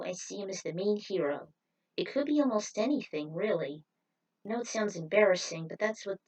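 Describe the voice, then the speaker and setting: A woman reading and commenting on her fan fiction as an amateur podfic narrator, with a dramatic, opinionated manner. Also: medium pitch. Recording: amateur recording, uneven mic distance.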